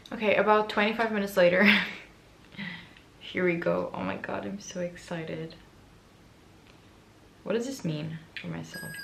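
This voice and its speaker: Raspy hoarse girl in her 20s vlogging, gravelly and chatty, gets excited and pokes fun at herself. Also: on the low side, a little hiss or hum. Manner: chatty, excitable, self-mocking. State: hoarse from allergies. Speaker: book vlogger. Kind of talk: casual chat to camera.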